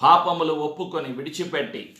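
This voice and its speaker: A man's voice: a little high, a little fast, sharp tone. A man preaching a sermon, exhorting his congregation with repeated phrases and rhetorical questions. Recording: echoey, reverberant sound.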